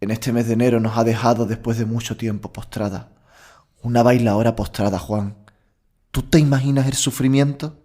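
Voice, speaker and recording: A man plays a young bullfighter in an audio drama, with a medium-pitched voice. He is proud and heartfelt, confiding in an earnest, conversational way as if to a close friend. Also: steady tone, normal speed, dark tone, lightly acted. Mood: tender grief.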